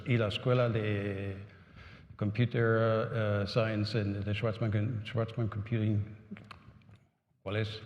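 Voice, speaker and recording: A low-voiced man gives a symposium talk in a language he learned later, with German and Italian as his first tongues. He stumbles, restarts and keeps adding little tag questions. The sound is roomy, with a long hall reverb. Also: a little mellow. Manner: halting, many restarts and "¿no?" tags. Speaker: conference speaker. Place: conference hall.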